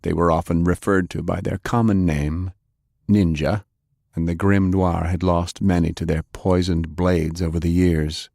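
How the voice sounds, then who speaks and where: A man narrating fiction as an audiobook reader, in a measured, dramatic way. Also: sits low, flat delivery, gravelly, a little mellow, dry recording, clean studio sound.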